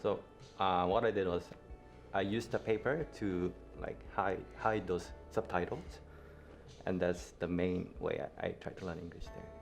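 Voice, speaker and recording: Deep-voiced Korean native speaker. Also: male voice, steady tone, measured pace, vocal fry, faint music behind. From South Korea. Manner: careful, hesitant. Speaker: student. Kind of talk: casual roundtable talk.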